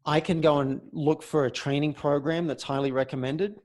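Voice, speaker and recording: A man speaking as a coach in a conversational roleplay, measured and deliberate, often pausing mid-phrase. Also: fairly high pitch, steady tone, a little mellow. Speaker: host, communication coach.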